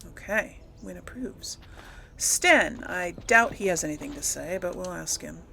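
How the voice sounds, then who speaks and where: Female gaming host, bright but fry-edged, thinking aloud casually, faint noise behind. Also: lowish voice, expressive, unhurried. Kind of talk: casual role-play game commentary.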